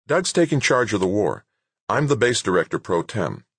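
A man plays a woman in charge of a base in a novel. She is brisk, good-natured and firm, speaking in a lifted, lively voice with a dry, close sound. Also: fairly low pitch, slightly rough, no echo, pitched above the performer's own voice, heavily acted. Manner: plain-spoken, patient but firm. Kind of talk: acted audiobook dialogue.

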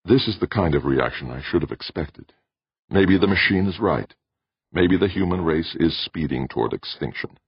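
Man playing a deep, steady, earnest man. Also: vocal fry, breathy edge, dry recording, lo-fi sound, heavily acted. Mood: controlled. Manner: controlled, deliberate. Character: steady, earnest man, convinced and holding his temper. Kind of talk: audiobook character voice.

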